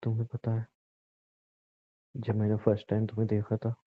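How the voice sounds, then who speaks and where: Over a muffled, phone-like line comes a man acting as a distraught husband in an audio drama. He is brooding and bitter, repeating himself as he pleads and threatens, and his pitch stays fairly flat. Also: normal speed, natural voice. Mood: bitter, hurt. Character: distraught, menacing husband, bitter and self-pitying.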